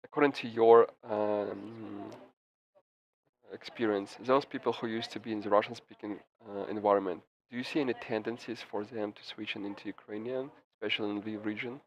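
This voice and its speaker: A man giving live interpretation of a press briefing, halting with frequent restarts and fillers. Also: lowish voice, fairly even intonation. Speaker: simultaneous interpreter. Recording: slightly muffled with faint background noise.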